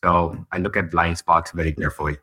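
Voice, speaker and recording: A man's voice: deep voice, flat delivery, a little fast, pushed and bright, husky. A man from India, a podcast guest, chatting casually and thinking aloud, laughing easily. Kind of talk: casual interview chat.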